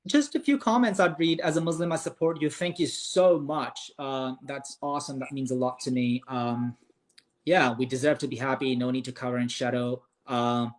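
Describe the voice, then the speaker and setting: A man's voice: medium pitch, fairly even intonation, moderate pace. A man from Pakistan with a Pakistani accent, whose first language is Urdu, hosting a casual live-stream chat and earnestly giving advice. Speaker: host of a live interview.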